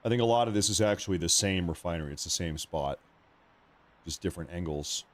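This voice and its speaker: Casual man with a lowish voice. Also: a little fast. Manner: informal, reactive. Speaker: live stream host. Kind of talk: casual live news commentary.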